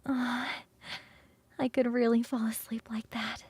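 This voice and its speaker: Woman acting as a high-voiced, cuddly succubus roommate. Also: flat delivery, slightly rough, exaggerated voice. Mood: soft, intimate. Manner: coaxing, sighing, drawn-out savouring, shifting into soft whispers. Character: playful, hungry, cuddly young succubus roommate, affectionate and teasing. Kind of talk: ASMR roleplay.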